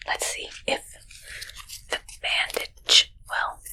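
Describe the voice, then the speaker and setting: A woman whispering an ASMR roleplay as an odd, suspicious medical examiner, in halting, stilted short phrases. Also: noisy background.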